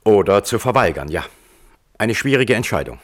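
An actor playing a frank lawyer and former security chief giving dry testimony in a radio play. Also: male voice, lowish voice, big pitch swings, normal speed. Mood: candid. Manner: matter-of-fact, candid. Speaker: witness at a hearing. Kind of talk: radio play courtroom acting.

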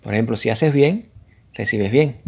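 A man with a Cuban accent giving explanatory interview answers in short, measured phrases, in a conversational style. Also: medium pitch, creaky, slight background noise. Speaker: interview guest, musician and composer.

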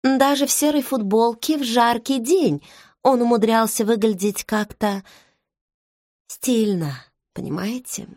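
A woman reading a novel aloud in the first person, measured and intimate, like inner monologue. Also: on the high side, darkish tone, no echo. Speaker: audiobook narrator. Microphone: close studio mic. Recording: dry studio recording.

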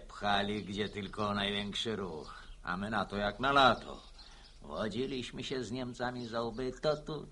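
An actor playing a cocky, jovial war veteran in a radio drama, telling colourful stories. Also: male voice, lowish voice, pushed and bright, a little hiss or hum, full character voice. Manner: colourful storytelling, mimicking others, peppering French words. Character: cocky, jovial Polish war veteran villager, storytelling raconteur.

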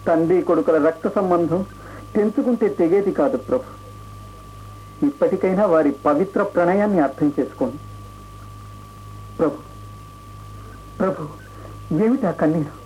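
A man's voice: sits high, moderate pace, pauses often, warm tone, natural voice. A deferential, earnest minister acted by a man speaks to his ruler in a raised, respectful voice. This is radio play acting, muffled and faintly noisy. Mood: earnest pleading. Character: loyal, deferential royal minister, earnest and pleading. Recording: dull radio recording, faint hiss.

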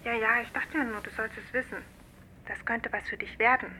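Brisk, pushy young sister, piercing high voice, radio drama phone call. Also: female voice, fairly even intonation, bright, forceful tone, slight background noise. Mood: urging. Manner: brisk, nagging, persuasive. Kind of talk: naturalistic radio drama acting.

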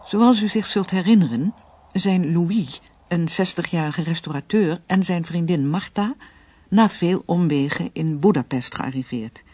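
Dark, husky radio narrator. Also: mid-range voice, unhurried. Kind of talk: scripted radio drama narration.

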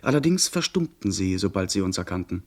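A man playing an earnest young gentleman who narrates his pirate adventure in measured, literary German radio-drama style. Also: low-pitched, sing-song. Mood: watchful, recounting.

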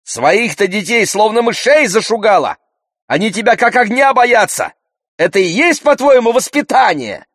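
A man's voice: high voice, animated, moderate pace, a bit bright, dry recording, clean studio sound, pitched above the performer's own voice. A man voicing a frantic, cowardly but brash girl in an audiobook, screaming and pleading. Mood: scornful. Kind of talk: audiobook character voice.

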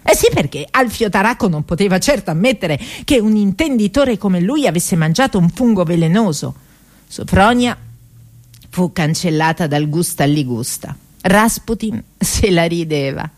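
A woman actress narrating a comic story for radio theatre, grandly emphatic, with dramatic pauses. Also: lowish voice, expressive, darkish tone.

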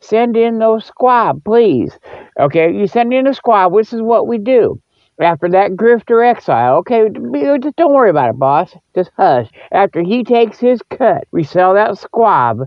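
High-pitched folksy man, rambling radio host. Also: big pitch swings, unhurried, no echo, dull audio. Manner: folksy, colloquial, teasing the listeners. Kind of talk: casual rambling comic monologue. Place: cold spot, apparently outdoors.

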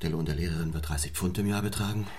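A stern young parson for a radio drama, his voice very low. He speaks formally and deliberately, questioning and commanding with restless, unbending ambition. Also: a little mellow, husky. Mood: earnest, intense. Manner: measured, formal, probing, commanding. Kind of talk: German radio play acting.

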